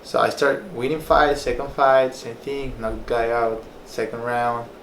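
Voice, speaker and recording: A man's voice: mid-range voice, flat delivery, a little slow, fairly bright tone, roomy sound, a little hiss or hum. Young man telling his story. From Peru. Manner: loose, rambling storytelling with fillers. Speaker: personal trainer and former fighter. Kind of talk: casual personal story to camera.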